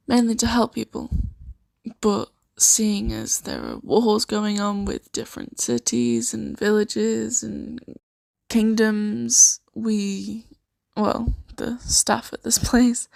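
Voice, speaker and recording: A woman improvising an ASMR roleplay as a kindly, earnest host of a fantasy sanctuary, speaking softly and reassuringly with some hesitation. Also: fairly high pitch, creaky, no echo, heavily acted. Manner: soft-spoken, gently hesitant, reassuring.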